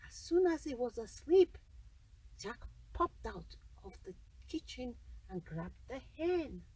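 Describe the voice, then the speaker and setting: Female bedtime storyteller: high, husky, very slow and expressive, slightly off-mic. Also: far from the mic, poor audio. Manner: deliberate, pausing between short phrases. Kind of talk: children's bedtime story narration.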